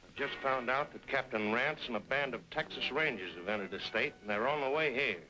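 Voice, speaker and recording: A man's voice: medium pitch, brisk, sharp tone, faint music behind, natural voice, low-quality recording. An actor playing an anxious henchman in an old western serial, urgent and clipped. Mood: urgent, worried. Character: anxious henchman reporting to his crooked boss.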